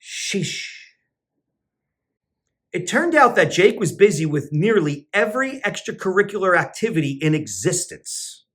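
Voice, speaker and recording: A man, a teacher and children's entertainer, reading a picture book aloud with expressive storybook narration. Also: measured pace. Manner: expressive storybook narration with sing-song pitch swings.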